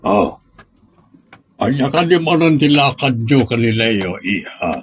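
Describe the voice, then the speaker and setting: A man's voice: medium pitch, expressive, slow, a little hiss or hum. A man playing a stern, guarded middle-aged father of a bride in an audio drama. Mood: guarded, casual. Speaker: drama actor. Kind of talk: Ilocano audio drama acting.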